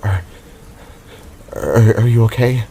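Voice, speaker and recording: A man voicing a shaken, devoted young hero in an audio drama, with a halting, breathy delivery. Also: on the low side, mellow, heavily acted. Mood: shaken, caring. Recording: music and rain under the voice.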